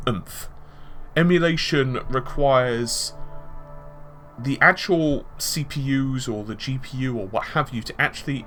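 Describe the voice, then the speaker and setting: Man, mid-pitched voice, conversational tech commentary, explanatory with hedges and asides, background music. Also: fairly bright tone. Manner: explanatory, hedging with examples and asides. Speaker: gaming news commentator.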